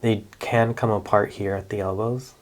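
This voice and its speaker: A man, chatting casually in a relaxed vlog about his doll collection, with many fillers and self-corrections. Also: on the low side, moderate pace. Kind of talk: casual show-and-tell vlog.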